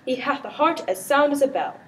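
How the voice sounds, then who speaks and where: Excitable Shakespearean prince, played by a student girl, highish voice, amateur stage verse, off-mic with faint noise. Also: brisk. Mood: playful teasing. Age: teenager. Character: teasing, excitable prince, a grown nobleman. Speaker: student performer. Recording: distant mic with background noise.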